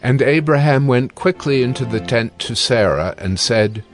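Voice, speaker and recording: A man narrating scripture from a script in a measured, formal manner. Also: mid-range voice, expressive, soft background music.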